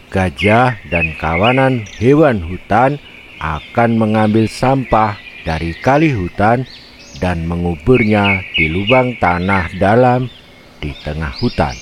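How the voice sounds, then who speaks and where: A man's voice: sits low, background noise. A man narrating a scripted animal fable for children. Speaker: children's storyteller.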